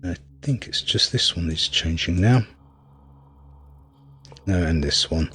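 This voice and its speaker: Husky low-voiced man, wry gameplay commentary. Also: takes it slowly, fairly bright tone. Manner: wry, rambling, thinking aloud. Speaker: game reviewer and player.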